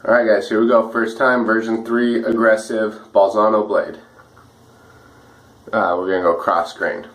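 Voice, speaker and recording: A man with a slightly rough, dry voice, chatting casually and a bit ramblingly while he shaves at home for his vlog. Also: on the low side, little pitch movement, unhurried, fairly bright tone. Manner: easygoing, rambling, many fillers and restarts. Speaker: wet shaving vlogger. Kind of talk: casual talk while shaving.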